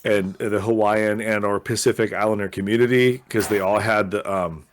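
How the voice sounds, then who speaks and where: A man's voice: lowish voice, steady tone, normal speed, a little hiss or hum. A man co-hosting a casual podcast, rambling with lots of fillers and restarts. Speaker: podcast co-host. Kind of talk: casual chat. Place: Los Angeles area.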